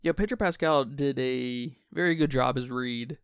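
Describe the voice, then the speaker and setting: A man's voice: medium pitch, moderate pace, clear, resonant tone, dry recording, studio quality. A man co-hosting a podcast, talking through a film in a casual but analytical, reflective way. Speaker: podcast co-host.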